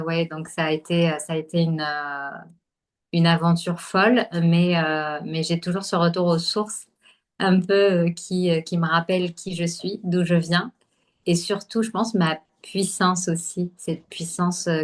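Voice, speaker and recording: Hesitant, low-voiced woman from Algeria. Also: fairly even intonation, unhurried. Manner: hesitant, many fillers and restarts. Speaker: podcast guest, holistic nutrition coach. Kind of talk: casual conversational interview.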